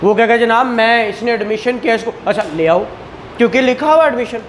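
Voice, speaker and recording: High-pitched Pakistani woman lecturing, some noise. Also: fairly quick. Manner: conversational, frequent rhetorical questions and acted-out dialogue; code-switches into English terms. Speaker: law lecturer. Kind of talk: classroom lecture with questions to students.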